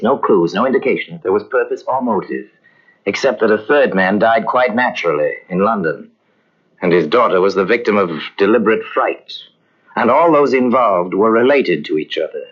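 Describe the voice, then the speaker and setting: A man narrating a detective mystery in old-time radio style, grave and measured. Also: on the low side, lively intonation, slightly rough. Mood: solemn. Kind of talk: 1950s radio drama narration. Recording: dull old radio transcription.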